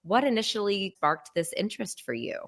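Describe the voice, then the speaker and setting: A woman hosting an interview, encouraging and quick with affirmations. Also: mid-range voice, sing-song, a bit bright. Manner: encouraging, lots of backchannel affirmations. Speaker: podcast host.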